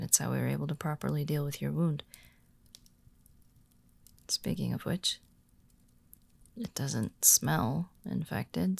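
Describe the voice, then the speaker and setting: Actress as earnest female werewolf guide for roleplay audio: heavy vocal fry, unhurried to slow delivery, soft, hesitant, shy. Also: low voice, no echo, full character voice. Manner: hesitant, halting, awkward when personal. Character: shy, earnest born werewolf woman guiding a newcomer. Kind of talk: roleplay audio drama, softly spoken to the listener.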